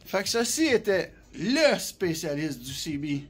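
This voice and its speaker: Casting: man, Quebec French accent, notably high voice with a rough husky edge, informal rambling product demonstrator. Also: very animated, unhurried, a bit bright. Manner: colloquial, rambling, hands-on explaining. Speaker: CB radio specialist and seller presenting products.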